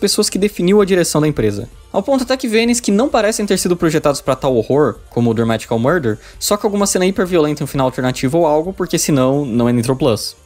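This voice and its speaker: Fast-talking Brazilian man over background music. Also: fairly high pitch, lively intonation, darkish tone. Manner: casual, profane. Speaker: video essayist reviewing visual novels. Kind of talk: scripted video essay narration, informal with swearing.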